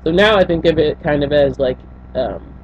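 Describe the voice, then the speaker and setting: A young woman from Senegal tells her life story to a webcam in a small bedroom. She talks in a halting way, with many fillers and restarts. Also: deep voice, darkish tone. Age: young adult. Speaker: vlogger. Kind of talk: personal storytelling to camera. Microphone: built-in webcam mic. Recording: dull lo-fi webcam audio with faint hum.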